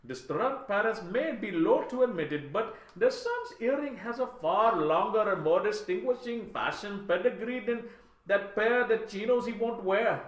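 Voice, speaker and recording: A man voicing an Indian man reading aloud in a comic, halting, sing-song accent. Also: high-pitched, animated, voice pushed up. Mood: comic recital. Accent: put-on Indian. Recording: roomy, echoey live webcast.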